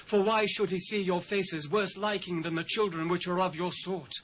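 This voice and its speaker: A British actor playing an anxious, formal royal official pleading out of fear in a dramatised scripture reading. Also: male voice, sits high, fairly even intonation, normal speed, a bit bright. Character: anxious royal court official fearing his master. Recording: noisy old cassette transfer.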